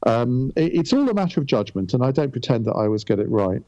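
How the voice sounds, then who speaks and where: Calm, dark-voiced man. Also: mid-range voice, dry recording, highs cut off. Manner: measured, with frequent ums and restarts. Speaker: guest, radio broadcaster. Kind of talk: reflective interview talk.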